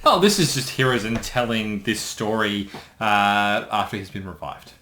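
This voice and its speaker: A man's voice: lowish voice, normal speed, pushed and bright, roomy sound, a little hiss or hum. A man chatting casually as a voice actor on a creator commentary. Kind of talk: casual chat.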